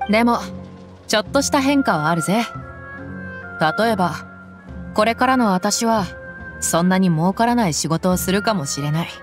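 A woman's voice: medium pitch, lively intonation, moderate pace, pauses often, lightly acted. An actress playing a tough, reflective desert mercenary woman, speaking in a blunt, tomboyish way in anime game style. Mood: reflective, sincere. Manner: blunt, tomboyish rough speech. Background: background music.

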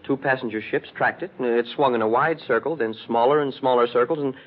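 Casting: male actor as plain-spoken junior spaceman, mid-pitched, husky, dutiful, 1950s radio style. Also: fast talker, natural voice. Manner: deferential. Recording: dull 1950s radio recording.

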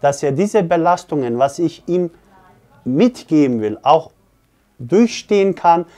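A man in his forties, a fitness coach, explains earnestly to camera with hesitant, pause-filled phrasing. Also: a little high, animated, a little mellow. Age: 40s. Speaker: fitness coach and gym owner. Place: small indoor office.

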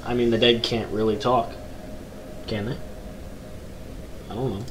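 A young man with a low voice commentating a horror game from his bedroom, joking with mock bravado and reading the game's text aloud. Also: flat delivery, unhurried. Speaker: gaming YouTuber. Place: small bedroom, indoors. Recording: noisy home recording.

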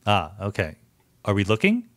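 A man's voice: fairly low pitch, huge pitch swings, normal speed, no room sound, clean studio sound. A man hosting a science podcast, asking curious questions and thinking aloud as he talks. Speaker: podcast host. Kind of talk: conversational science interview.

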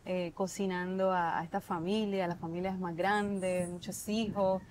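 A woman's voice: lowish voice, little pitch movement, a little slow, a little mellow, a little hiss or hum. A Puerto Rican woman chef in her thirties, answering interview questions in a thoughtful way, restarting often, recorded with a lapel mic on an outdoor patio. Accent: Puerto Rican Spanish. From Puerto Rico. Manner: thoughtful, many restarts and filler words.

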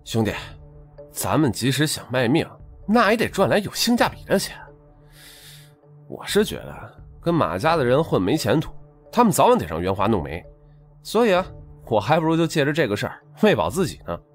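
A man's voice: medium pitch, very animated, brisk, faint music behind. A man playing a tipsy, persuasive street hustler in an audiobook drama, earnest, coaxing and colloquial.

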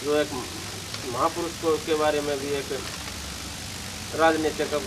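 A man, giving explanatory interview answers in a halting way, often correcting his words. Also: flat delivery. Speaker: interview guest, contest organiser. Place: seated outdoors in front of banners. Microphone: handheld news microphone held to him. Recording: noisy outdoor sound, idling vehicle rumble.

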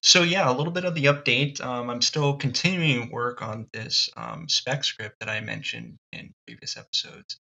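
A man hosting a solo podcast, thinking out loud in a thoughtful, halting way with many ums and restarts. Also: medium pitch, vocal fry, dull audio. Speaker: podcast host. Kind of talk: reflective solo podcast monologue.

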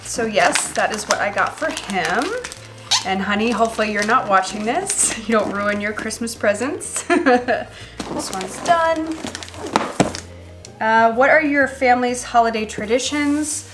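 A woman in her thirties with a stuffy, nasal voice from a cold, chatting casually and relaxed with many ums while she wraps gifts in her kitchen. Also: a little high, unhurried, over music. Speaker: lifestyle vlogger. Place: echoey home kitchen and living room.

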